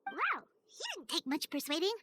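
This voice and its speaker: Tiny childlike game sidekick, extremely high squeaky voice, wildly animated, cheeky, exclaiming, music behind. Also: female voice, normal speed, slight background noise, exaggerated voice. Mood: cheeky disbelief. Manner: exclaims, speaks of self in third person. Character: tiny chirpy childlike female companion, excitable and cheeky. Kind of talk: video game character acting.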